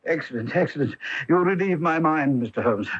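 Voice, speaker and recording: An actor playing an anxious, exhausted English headmaster, halting and formal, in a 1980s radio drama. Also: male voice, a little high, animated, husky. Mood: earnest, relieved. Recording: roomy, dull vintage radio broadcast.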